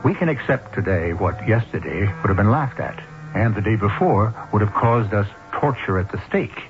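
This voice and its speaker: A man hosting a radio mystery show with scripted narration, measured and formal. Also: on the low side, expressive, faint music behind. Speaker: host and narrator. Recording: dull vintage radio broadcast.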